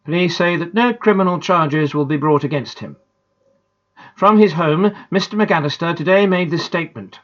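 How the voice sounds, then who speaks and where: A reader voicing a newsreader, delivering a report in a measured, formal way. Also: medium pitch, expressive, dull audio, close to an everyday voice.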